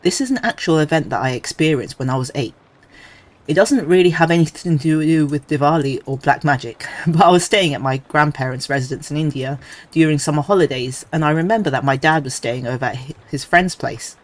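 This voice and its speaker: A male streamer reading creepy stories aloud, with relaxed, chatty asides between them. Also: fairly high pitch, brisk.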